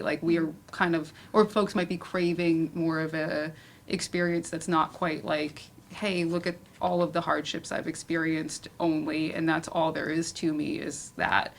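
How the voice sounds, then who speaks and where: A woman's voice: lowish voice, vocal fry, fairly bright tone. A woman moderating a casual panel discussion, talking in a rambling, conversational way with lots of "like". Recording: noisy room ambience.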